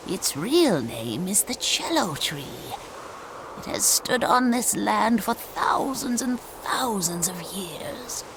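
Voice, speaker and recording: An actress voicing a cryptic, solemn old woman fortune-teller in a game cutscene, speaking in a hushed, measured way. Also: mid-range voice, big pitch swings, vocal fry, noisy background, exaggerated voice. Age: older. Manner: slow, measured, mysterious. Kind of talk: video game cutscene dubbing.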